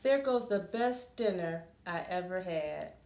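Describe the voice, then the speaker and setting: A woman voicing a kind, generous female home cook in a picture book, speaking gently and earnestly. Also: fairly low pitch, expressive, a little slow, recorded from a distance, voice pushed down. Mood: sorry, downcast. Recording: roomy, echoing room sound.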